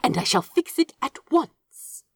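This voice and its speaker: A woman voicing a gentle, anxious, prim male angel in an audiobook story, soft, fussy and earnest. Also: a little slow, no room sound, clean studio sound, natural voice. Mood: anxious, relieved.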